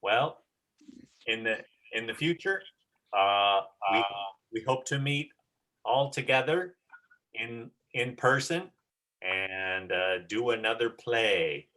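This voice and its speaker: A woman's voice: extremely low pitch, slow pace, long pauses, a bit bright, poor audio. A woman hosting a group session over a video call, talking casually as a teacher. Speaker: host and teacher of an online children's drama session.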